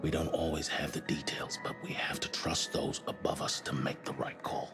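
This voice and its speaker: Calm deep voiced space commander, real slow and measured, loud music under him. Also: male voice, in a whisper. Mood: grave, persuasive. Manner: measured, persuasive, authoritative. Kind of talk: cinematic sci-fi game acting. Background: loud cinematic score.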